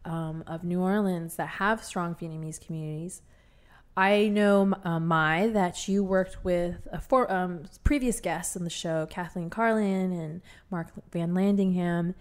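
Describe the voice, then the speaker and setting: Woman, talk show host, chatty, animated, a bit low-pitched. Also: a little slow. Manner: chatty, animated storytelling with laughs. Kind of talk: conversational interview.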